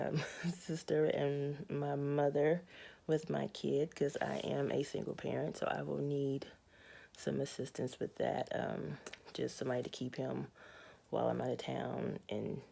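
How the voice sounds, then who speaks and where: A young adult woman with a raspy, hoarse voice, giving a candid, matter-of-fact personal health update to her viewers. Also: low-pitched, level tone, moderate pace, mellow. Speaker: vlogger.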